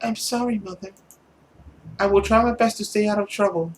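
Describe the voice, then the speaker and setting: A man acts out a meek young girl from a visual novel, obedient and full of guilt. The voice is raised in pitch, soft and hushed, and comes out hesitant and apologetic. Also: fairly even intonation, moderate pace, a little hiss or hum, close to an everyday voice, poor audio. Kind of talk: visual novel read-aloud character voice.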